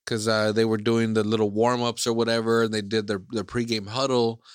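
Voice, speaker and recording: A man's voice: on the low side, flat delivery, a little fast, smooth, no room sound, studio quality. A man from Iceland, co-hosting a casual chat, who rambles and keeps circling back to his points.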